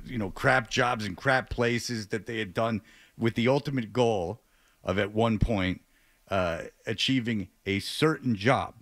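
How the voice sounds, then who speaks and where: A man hosting a radio talk show, speaking off the cuff in a halting way with stammers and repeated words. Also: mid-range voice, no echo. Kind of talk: unscripted radio talk show monologue and interview. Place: radio studio.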